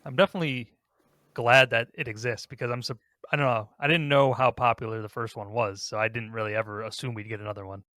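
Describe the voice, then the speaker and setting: A man's voice: mid-range voice, fairly even intonation, fast talker, bright, forceful tone, no echo, studio quality. A man hosting a gaming podcast, talking casually in a rambling, conversational way. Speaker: podcast host.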